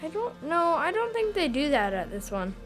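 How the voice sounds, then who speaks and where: A woman's voice: high voice, sing-song, normal speed, a bit bright, faint music behind. An actress playing a small, innocent young boy in an audio drama, hesitant, childlike and trusting. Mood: unsure.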